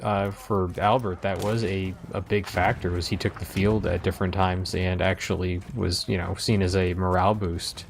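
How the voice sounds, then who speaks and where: A man with an American accent gives casual, reflective commentary on history in a halting way. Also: deep voice, flat delivery, gravelly, a little hiss or hum. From United States.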